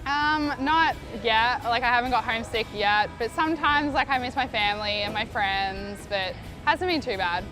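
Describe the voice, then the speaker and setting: Young Australian woman, bright casual answers. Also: a little high, normal speed, few pauses, over music, low-quality recording. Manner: casual, starts with "um". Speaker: student athlete. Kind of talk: interview answers.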